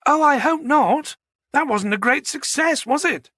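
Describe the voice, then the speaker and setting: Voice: high voice, expressive, unhurried, pushed and bright, no echo, studio quality, pitched above the performer's own voice, heavily acted. An audiobook narrator voicing a genteel, chatty woman with an old-fashioned, playful way of speaking. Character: genteel, chatty woman, a box office manager.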